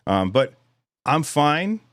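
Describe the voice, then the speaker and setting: A man hosting a live podcast in casual chat, a little hoarse, rambling and self-deprecating with frequent restarts. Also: on the high side, big pitch swings, slow, a bit bright, no echo, clean studio sound. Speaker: podcast host.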